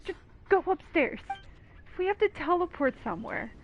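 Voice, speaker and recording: A woman's voice: very high-pitched, animated, moderate pace, muffled recording, noisy background. A woman giving casual, informal, reactive commentary over a game playthrough. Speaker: gaming video commentator. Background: game music.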